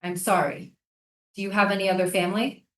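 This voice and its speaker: A woman acts a troubled female soldier guarding prisoners in a stage drama. Her mid-pitched delivery is earnest and measured, with an undercurrent of defiance. She sounds off-mic in a reverberant room. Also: level tone, lightly acted. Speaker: actor in a staged reading. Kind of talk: theatrical staged reading. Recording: echoey stage, distant microphone.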